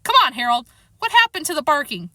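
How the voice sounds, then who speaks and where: A woman voicing a bossy, excitable male cat in a storybook, urgent and snappish as the cat gives orders. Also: high voice, quick pace, no echo, studio quality, voice pushed up, heavily acted. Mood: encouraging.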